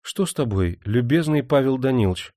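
A man's voice: mid-range voice, fairly quick, no echo, clean studio sound, natural voice. Man playing a haughty young nobleman officer. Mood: feigned innocence. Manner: haughty, commanding, excitable. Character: vain, spendthrift young nobleman and officer, imperious.

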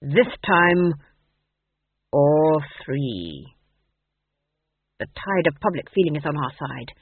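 A man's voice: on the high side, animated, takes it slowly, slightly rough, dry recording, heavily acted. A man voicing a smug, madly scheming duke in an audiobook, declaiming his orders imperiously. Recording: narrow, tinny phone-like sound.

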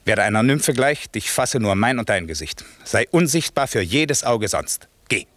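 A man's voice: medium pitch, animated, moderate pace, fairly bright tone, lightly acted. A man playing a stern, imperious magician master who can turn benevolent, declaiming verse with authority in a German classical radio play. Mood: commanding.

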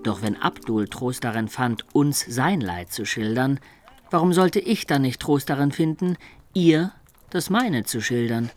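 A man's voice: mid-range voice, lively intonation, unhurried, darkish tone, soft background music, lightly acted. Actor as lovesick, lyrical young medieval man. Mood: confiding. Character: lovesick young medieval student recounting his life, yearning and poetic. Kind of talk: literary radio drama narration.

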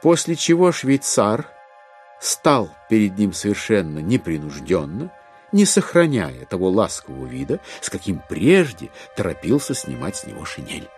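A man's voice: low-pitched, huge pitch swings, moderate pace, darkish tone, soft background music, full character voice. A man performing as a wry, ironic storyteller, reading literary narration in an audio drama.

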